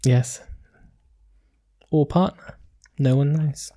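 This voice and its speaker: A man's voice: a little high, takes it slowly, dark tone. A man hosting a casual podcast chat, teasing his co-host in a dry, wry manner.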